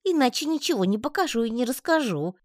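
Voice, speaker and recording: A woman's voice: on the high side, big pitch swings, no room sound, clean studio sound, pitched above the performer's own voice. Woman doing a tiny whiny spider. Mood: eager. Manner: plaintive, pleading, quick to take offence. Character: tiny talkative lonely male spider, whiny. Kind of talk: audiobook reading.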